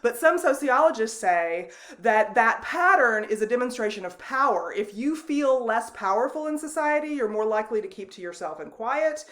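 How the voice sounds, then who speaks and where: A woman instructor giving a recorded online lecture, speaking loosely and conversationally with frequent ums and restarts and a loud voice. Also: fairly high pitch, lively intonation, moderate pace. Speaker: college instructor.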